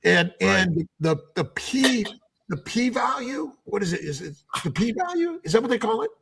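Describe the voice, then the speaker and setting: A man in his seventies hosting a casual panel chat as a doctor, talking in halting runs with many restarts. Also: sits high, sing-song, vocal fry, a bit bright, slightly rough. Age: 70s. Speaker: host, doctor.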